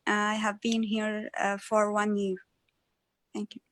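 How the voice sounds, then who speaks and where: Flat, halting woman from Egypt. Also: medium pitch, no room sound. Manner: brief, halting introduction. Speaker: guest student.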